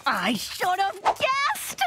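Very high-pitched, anxious young scientist. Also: female voice, wildly swooping pitch, very slow, full character voice. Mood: exasperated. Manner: dramatic, exasperated, self-deprecating. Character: anxious, self-pitying young woman jungle scientist. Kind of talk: exaggerated cartoon voice acting. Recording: loud music underneath.

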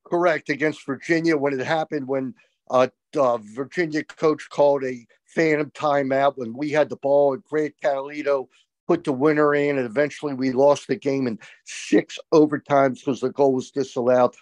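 An older man co-hosting a postgame lacrosse show over a video call, talking with emphatic, opinionated excitement. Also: mid-range voice, normal speed, no echo. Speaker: co-host, college lacrosse analyst. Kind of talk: excited postgame sports talk. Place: small room indoors. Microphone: video call webcam.

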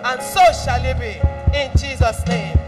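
A middle-aged woman leading a congregation in fervent, shouted prayer declarations, repeating phrases with rhythmic emphasis into a handheld microphone. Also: a little high, unhurried. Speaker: prayer leader. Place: large church hall, indoors. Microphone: handheld mic. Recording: reverberant hall with music.

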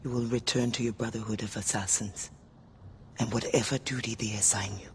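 Wistful, medium-pitched rebel comrade as acted for a game. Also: fairly even intonation, fairly quick, a little hiss or hum, lightly acted. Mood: wistful farewell. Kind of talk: cinematic video game acting.

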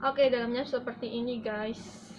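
A woman's voice: a little high, fairly even intonation, normal speed, music in the background. A young adult woman giving a casual, chatty product review to camera as a beauty vlogger. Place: small room indoors. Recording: dull lo-fi audio with loud background noise.

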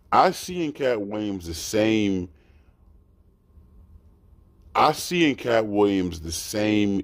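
A young man with a deep, very low voice talks straight into his desk mic from a small dark room, and the sound is dry and close. He rambles in an animated, emphatic way, swearing freely as he reacts in casual commentary. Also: dry recording. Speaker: reaction host.

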